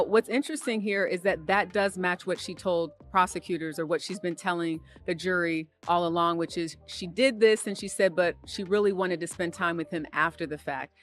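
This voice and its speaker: Lower-voiced woman reporting the news. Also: steady tone, moderate pace, faint music behind. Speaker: trial reporter. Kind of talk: live news reporting, explanatory.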